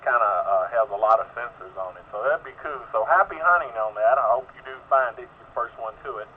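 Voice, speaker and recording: A man's voice: medium pitch, a little fast. A man chats casually and in a friendly way as an amateur radio operator. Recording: tinny narrow radio link, faint noise.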